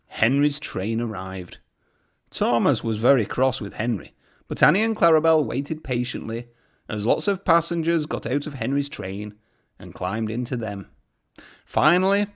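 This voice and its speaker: A man narrating a children's storybook aloud as a storyteller. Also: medium pitch.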